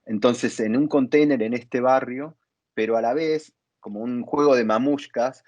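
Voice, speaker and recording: A man hosts a conversational interview in a medium-pitched voice. He builds his thoughts haltingly and reflectively. The sound is close and dry, with a dull top end. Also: a little mellow, no echo. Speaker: interviewer and theatre dramaturg.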